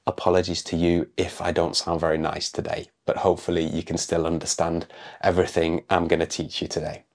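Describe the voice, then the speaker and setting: Male podcast teacher, low voice, croaky from a cold, clear explainer. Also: completely flat delivery, brisk, a little mellow. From England. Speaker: English teacher and podcast host. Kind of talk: podcast English lesson.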